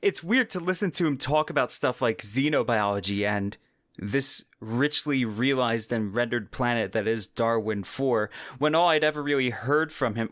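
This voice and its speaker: Male podcast host, mid pitch, enthusiastic and conversational, very dry sound. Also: fairly bright tone, dry recording. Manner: enthusiastic, informal. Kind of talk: casual solo podcast commentary.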